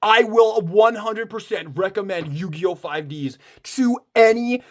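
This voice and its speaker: Gushing high-voiced young man. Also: unhurried. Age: 20s. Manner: gushing, enthusiastic, swears freely. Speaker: toy reviewer. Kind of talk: casual unboxing review.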